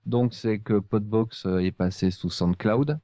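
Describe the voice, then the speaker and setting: Male host, low pitch, mellow tone, halting phrasing, casual podcast chat. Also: no room sound, clean studio sound.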